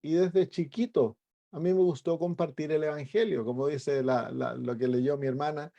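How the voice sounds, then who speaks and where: A middle-aged man with a Chilean accent, an evangelist pastor sharing a personal testimony in a conversational storytelling way, with hesitant pauses. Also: on the high side, creaky, dark tone, dull audio.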